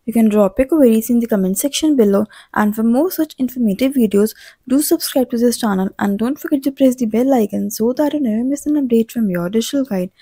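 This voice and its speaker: Female explainer narrator: relaxed mellow tone, measured delivery, dry studio-like sound. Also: a little high, breathy edge, no echo. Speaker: channel narrator.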